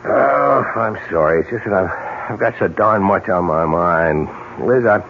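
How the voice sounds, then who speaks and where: An actor playing a harried, grumbling husband who runs a ski lodge, in 1970s radio drama style. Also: male voice, low voice, measured pace, a little hiss or hum. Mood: worried, weary. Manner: exasperated, grumbling. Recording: muffled, hazy old radio broadcast.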